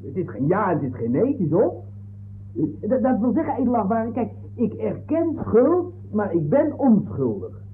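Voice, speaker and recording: A very high-voiced, muddled football fanatic in an old comic radio play. He stammers, rambles and exclaims excitably as he defends himself. The sound is thin, like a narrow vintage broadcast. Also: moderate pace, a little hiss or hum. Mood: pleading, earnest.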